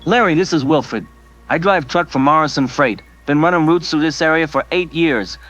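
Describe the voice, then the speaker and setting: A man's voice: on the high side, moderate pace, fairly bright tone, faint music behind, natural voice. A man playing a rattled veteran truck driver calling into a radio show in an audio drama. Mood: rattled, earnest. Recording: dull lo-fi call-in sound.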